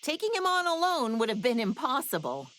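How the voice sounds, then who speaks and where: A woman's voice: sits high, very animated, brisk, fairly bright tone. A woman voicing a confident, discerning adult character who appraises fighters in a scripted video game. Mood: assured, appraising.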